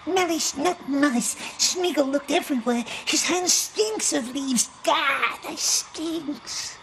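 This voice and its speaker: A man voicing a small, sly, bitter wretched creature in a video game, with a raspy voice and hissing, broken grammar. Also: very high-pitched, slow, heavily acted. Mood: irritated, suspicious. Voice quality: raspy, creaky. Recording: music behind the voice.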